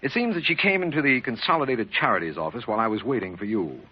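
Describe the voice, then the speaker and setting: Businesslike radio district attorney; fast, emphatic, animated, on a lo-fi vintage recording. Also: male voice, medium pitch, husky. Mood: brisk. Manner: clipped, official, emphatic. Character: brisk, businesslike, no-nonsense male district attorney. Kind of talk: old-time radio detective drama acting.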